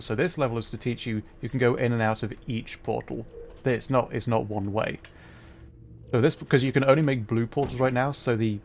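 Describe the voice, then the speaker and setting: A man livestreaming a game, giving casual, rambling commentary and chatting as he plays. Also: medium pitch. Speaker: livestreamer, VTuber. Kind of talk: casual gameplay commentary and chat. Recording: faint background noise, game ambience.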